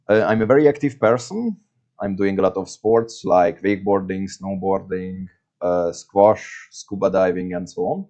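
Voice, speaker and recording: A man's voice: lowish voice, darkish tone, lo-fi sound. A man from Hungary with a Hungarian accent, presenting a scripted video review in English as a non-native speaker. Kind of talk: scripted product review to camera.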